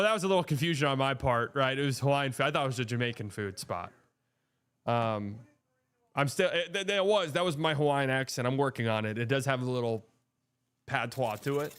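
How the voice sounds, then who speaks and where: Man hosting a live stream, blunt and profane, smooth clean voice, middle pitch. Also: animated, moderate pace, a bit bright. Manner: blunt, profane, reads and answers chat. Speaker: streamer hosting a watch party. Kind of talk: casual live game-watching chat.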